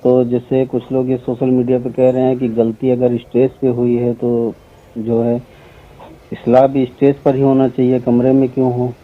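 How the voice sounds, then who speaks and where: Flat-toned man on a phone call. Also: medium pitch, moderate pace. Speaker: caller asking questions. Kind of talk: phone conversation. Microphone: phone line. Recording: tinny, narrow recorded phone call.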